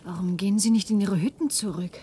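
A woman's voice: medium pitch, steady tone, fast, mellow, slight background noise, natural voice. An actress playing an earnest, defiant woman in a radio drama, questioning and pleading. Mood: distressed, questioning.

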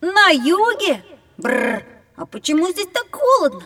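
A woman's voice: extremely high pitch, very animated, measured pace, fairly bright tone, big-room sound, exaggerated voice. A woman playing a curious, confident know-it-all young boy who eagerly explains and questions in a children's educational audio drama.

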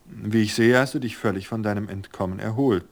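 A man's voice: lowish voice, a little fast, close to an everyday voice. A man playing an anxious, awkward, balding middle-aged man in an audiobook, speaking earnestly and a little hesitantly. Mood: earnest concerned. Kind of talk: audiobook character voice.

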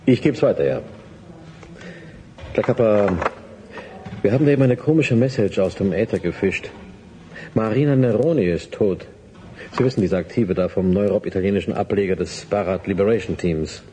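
A man's voice: fairly low pitch, moderate pace, pauses often, mellow, a little hiss or hum, close to an everyday voice, poor audio. A man playing an earnest agent in a radio drama, reporting to a superior in a businesslike, slightly hesitant way. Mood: serious, concerned. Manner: businesslike, explanatory, a little hesitant. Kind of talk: German radio drama acting.

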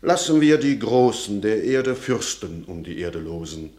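An actor playing a peaceable, resigned peasant farmer, declaiming classical verse in a measured, earnest way in a radio drama. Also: male voice, on the low side, big pitch swings, darkish tone. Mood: firm stoicism.

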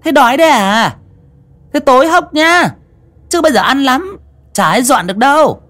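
A man voicing a cruel, bullying stepmother who scolds loudly and shrilly. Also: very high-pitched, big pitch swings, moderate pace, pitched above the performer's own voice, full character voice. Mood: scolding. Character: cruel shrewish stepmother, sharp-tongued and bullying.

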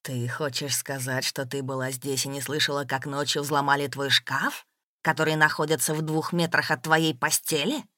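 A woman's voice: low voice, very animated, measured pace, few pauses, no echo, clean studio sound, pitched below the performer's own voice, full character voice. A woman voicing an angry, jealous businessman who accuses and interrogates in an audiobook. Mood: cold, menacing. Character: angry, bullying middle-class businessman, jealous and suspicious.

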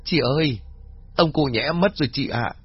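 A man's voice: mid-range voice, normal speed, dull audio, a little hiss or hum, voice pushed up, lightly acted. A man voicing a distraught village woman, sobbing and pleading in grief, in an audio horror story. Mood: frantic grief. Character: distraught village woman, daughter sobbing over her murdered father.

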